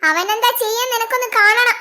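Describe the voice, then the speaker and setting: A woman's voice: very high voice, a little fast, bright, forceful tone. A woman voicing a defiant, feisty young kidnapped wife with a bold, taunting manner in a cartoon dub. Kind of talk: amateur cartoon dub in Malayalam.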